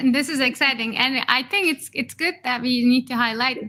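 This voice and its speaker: A woman's voice: high-pitched, normal speed, pushed and bright. A woman whose first language is Turkish, hosting a livestream in an upbeat way and laughing often. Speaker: host.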